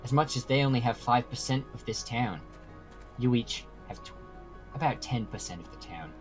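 A man playing an earnest, stern mortal peacemaker in an amateur game roleplay, with measured, emphatic phrasing. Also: medium pitch, expressive, close to an everyday voice. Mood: firm, reasoning. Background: music.

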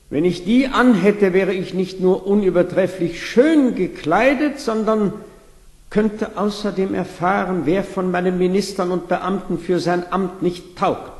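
A pompous fairy-tale emperor who commands his court in a high male voice. The radio play sets him in a reverberant, echoing hall. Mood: excited, commanding. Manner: pompous, imperious.

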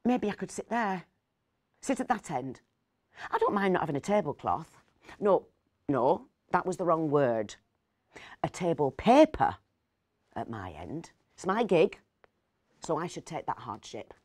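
A woman in her fifties reading a story aloud dramatically, with pauses and hushed asides. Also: low-pitched, sing-song, dry recording, clean studio sound. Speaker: actor reading a short story.

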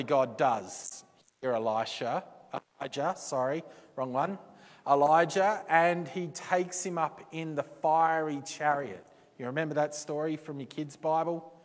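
A man preaching a sermon in a church, slow and deliberate, with dramatic pauses and quotes he acts out. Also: fairly high pitch. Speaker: pastor. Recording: reverberant church hall.

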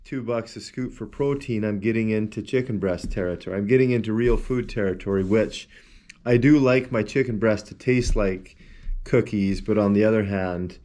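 A man's voice: fairly low pitch, normal speed, dark tone. Easygoing man rambling through a product review. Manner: rambling, easygoing, talks while handling the tub and shaker. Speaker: protein powder reviewer. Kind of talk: casual product review to camera. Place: small indoor room with shelves of supplement tubs. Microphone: camera at a desk, wide framing.

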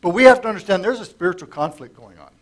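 Middle-aged pastor teaching scripture at a lectern, voice going hoarse, kinda high for a guy, chatty with the congregation. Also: lively intonation, racing. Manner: conversational, asks the congregation questions. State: losing his voice, coughing. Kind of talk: sermon, verse-by-verse Bible teaching. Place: church sanctuary with stage.

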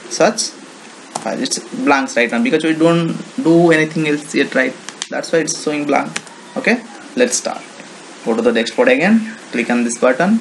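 Indian-accented man, halting tutorial talk, noisy recording. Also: medium pitch. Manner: halting, repetitive, non-native English phrasing. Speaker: software tutorial presenter. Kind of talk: informal screen-recorded software walkthrough. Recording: noisy home-made screen recording.

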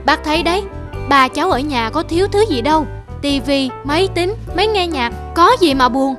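A woman's voice: high voice, lively intonation, moderate pace, over music. A woman as a boastful, money-obsessed young businessman. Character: ambitious, money-obsessed young businessman, dismissive grown son. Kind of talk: animated moral drama dialogue.

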